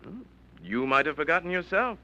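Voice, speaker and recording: An actor playing a calm, skeptical male lawyer in 1940s radio acting, measured and reasonable. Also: fairly high pitch, exaggerated voice. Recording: dull old radio broadcast with faint hiss.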